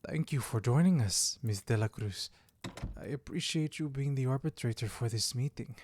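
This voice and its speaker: A man playing a diplomatic elder of a vampire clan in an audio drama, formal, measured and polite, with a creaky voice. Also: mid-range voice, darkish tone, a little hiss or hum, natural voice. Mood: courteous, formal. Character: courteous, diplomatic youthful-looking male elder of vampire clan. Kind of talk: audio roleplay drama acting.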